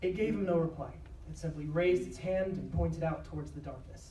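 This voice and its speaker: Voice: mid-range voice, a little fast, dark tone. A narrator reading scripted dramatic narration live on stage. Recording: echoey live stage, distant, clear noise.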